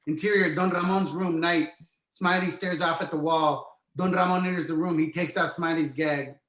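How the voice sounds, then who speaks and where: A male narrator reads scene directions from a screenplay. His voice is fairly high, and it comes with clear room echo. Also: steady tone, moderate pace, fairly bright tone. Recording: roomy, echoey sound.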